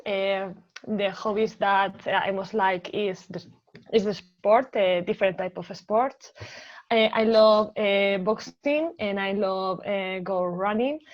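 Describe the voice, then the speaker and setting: A woman's voice: medium pitch, dull audio. A young woman from Spain with a Spanish accent, answering exam questions hesitantly with many filler sounds. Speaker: exam candidate.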